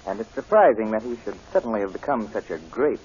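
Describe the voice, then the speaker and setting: A man's voice: fairly low pitch, big pitch swings, quick pace, darkish tone, husky. A man playing a condescending, insistent army major and fiancé in old-time radio drama. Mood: indignant. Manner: patronizing, insistent. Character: smooth, condescending military fiancé, insistent and suspicious. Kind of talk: 1940s radio acting. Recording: muffled, noisy old radio broadcast.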